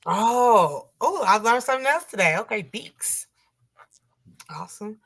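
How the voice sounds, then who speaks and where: Raspy-voiced woman hosting a chat. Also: on the high side, animated, measured pace, a bit bright. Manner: curious, reads viewer comments aloud, laughs easily. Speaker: host. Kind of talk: casual live interview.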